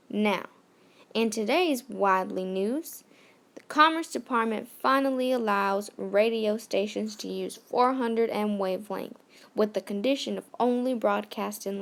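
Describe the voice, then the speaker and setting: Woman playing a creaky-voiced 1920s radio news anchor. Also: medium pitch. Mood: chatty, presentational. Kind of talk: scripted amateur radio news role-play.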